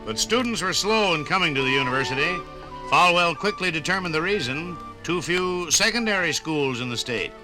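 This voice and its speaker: A man's voice: on the high side, animated, brisk, music in the background, rough recording. An American man announcing and narrating in a scripted 1950s radio style. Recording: vintage 1950s radio recording.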